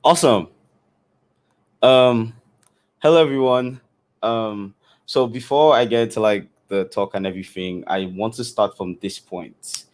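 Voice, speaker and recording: Casting: man, low-pitched, easygoing keynote speaker with frequent fillers, computer mic. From Nigeria. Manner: casual, loose, many fillers. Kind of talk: online conference talk.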